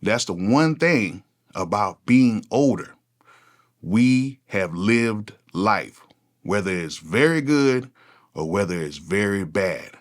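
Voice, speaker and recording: Forties male producer, deep low voice, relaxed unscripted vlog chat. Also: takes it slowly. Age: 40s. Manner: casual, conversational, repeats "go ahead" often. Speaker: music producer, channel host. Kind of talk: unscripted one-take talk to camera.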